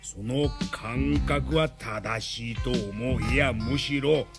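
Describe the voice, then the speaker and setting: An actor playing a reflective, rough-spoken man, fond and easily flustered, narrating in a radio drama. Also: mid-range voice, over music. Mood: musing, tender. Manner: slow, musing, casual masculine speech.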